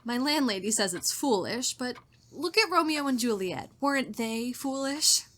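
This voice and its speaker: A woman's voice: on the high side, expressive, moderate pace, a bit bright. A woman playing a naive, romantic new bride in old-fashioned radio melodrama, genteel and given to dramatic hesitations. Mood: dreamy, romantic.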